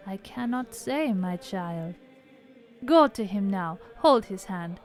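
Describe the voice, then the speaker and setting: A woman voices a caring nun who speaks slowly and gravely, with a soft tenderness toward the young woman she consoles. Her tone is steady and composed. Faint music plays behind her in this audio drama. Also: medium pitch. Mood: gentle, grave. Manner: gentle, grave, measured.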